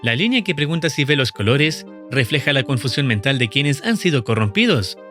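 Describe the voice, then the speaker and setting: A male narrator reads a scripted story recap in a steady, measured way. His pitch moves up and down with real liveliness while staying in a middle register. Faint music plays quietly beneath the voice-over. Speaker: video narrator explaining a horror series.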